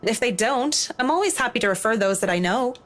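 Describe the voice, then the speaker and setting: An actress playing a reserved, blunt professional woman in a horror visual novel, speaking in a measured, dry way. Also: on the high side, animated, fairly bright tone. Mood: dry, cutting.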